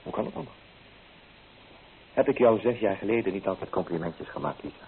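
A man's voice: lowish voice, lively intonation, fairly quick, gravelly, a little hiss or hum. Tinny radio-play recording, sardonic flirtatious man. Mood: teasing, flirtatious. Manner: teasing, theatrical, persuasive.

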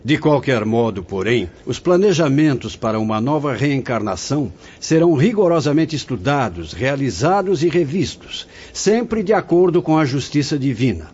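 A man's voice: mid-range voice, big pitch swings, moderate pace, hardly any pauses, darkish tone, breathy edge. A Brazilian man playing a wise, fatherly spirit director in a radio drama, speaking in a solemn, formal, preaching way. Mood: solemn, instructive. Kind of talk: Portuguese-language radio drama acting. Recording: dull lo-fi radio drama audio.

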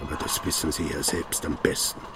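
A man's voice: a bass voice, steady tone, fairly quick, very noisy. An actor playing a cold, sardonic male police inspector in a radio play, interrogating with dry, sarcastic accusations.

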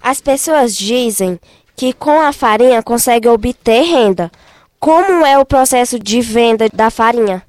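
A woman's voice: fairly high pitch, lively intonation, unhurried, a little mellow, no echo. Young Cearense girl reporter, dry close sound. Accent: Northeastern Brazilian, Ceará. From Ceará, Brazil. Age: child or young student. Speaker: radio reporter. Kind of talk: scripted interview questions.

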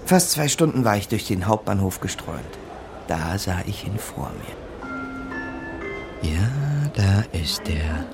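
An actor playing a smooth small-time con man who narrates his schemes with dry, conspiratorial asides in a crime radio play. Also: low-pitched, very animated, takes it slowly, long pauses, over music, exaggerated voice. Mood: wry, scheming. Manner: conspiratorial, dryly wry asides.

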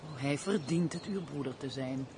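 A woman's voice: very deep voice, moderate pace, mellow. A woman acting a sorrowful, penitent baroness in a Dutch radio play, in an anguished, sighing, theatrical manner. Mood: demure, wistful. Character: sorrowful, penitent, guilt-ridden fallen baroness. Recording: noisy old radio play recording.

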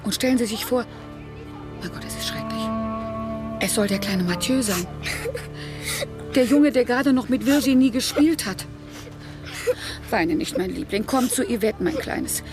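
A woman's voice: on the high side, moderate pace, pauses often, music in the background, lightly acted. An actress playing a chatty, motherly carer who fusses in homely radio drama dialogue. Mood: shocked. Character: chatty, motherly woman carer, fussing kindly.

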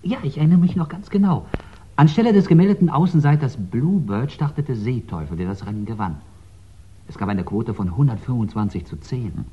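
A man's voice: medium pitch, brisk, slightly rough, close to an everyday voice. An actor playing a helpful, chatty archive man who loves horse racing, eager and musing, in a 1960s German radio drama. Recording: dull 1960s radio recording.

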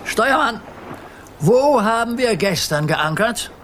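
A man's voice: very high-pitched, lively intonation, takes it slowly, fairly bright tone, a little hiss or hum, heavily acted. An older man playing a good-natured grandfather who acts as sea captain in a children's radio play, giving theatrical nautical orders. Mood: commanding, concerned. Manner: theatrical nautical commands, indulgent. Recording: clear boat and water sounds behind.

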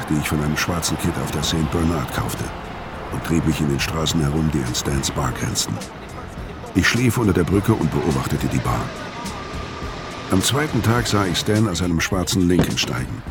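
An actor playing a weary, haunted older ex-convict, narrating a radio crime drama in a brooding, measured way. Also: male voice, deep voice. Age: 50s. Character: weary, haunted older male ex-convict telling his story.

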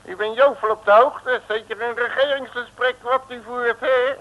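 An actor playing a cheeky, sardonic police detective in a colloquial 1960s comic radio serial. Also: male voice, extremely high pitch, fairly even intonation, moderate pace, fairly bright tone, muffled recording. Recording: old radio recording.

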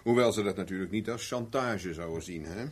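An actor playing a wry, unruffled private investigator in a 1970s Dutch radio play, bantering casually with a sardonic edge. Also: male voice, deep voice, fairly quick, husky. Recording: noisy vintage radio drama recording.

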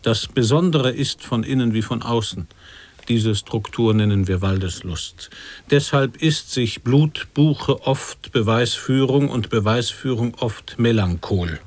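A man's voice: medium pitch, a little mellow, lightly acted. An actor playing a solemn man who pronounces nonsensical definitions as if they were logic, in an experimental radio drama. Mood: pseudo-scholarly. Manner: deliberate, pseudo-logical pronouncing.

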